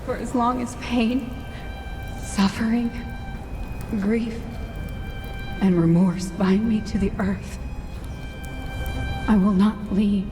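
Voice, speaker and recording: A woman's voice: mid-range voice, steady tone, natural voice. An actress playing a sorrowful, defiant spirit in a fantasy game, declaiming her words. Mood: anguished, defiant. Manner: slow, declamatory. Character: sorrowful, defiant female spirit bound to earth. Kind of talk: fantasy video game voice acting. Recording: long hall reverb under music.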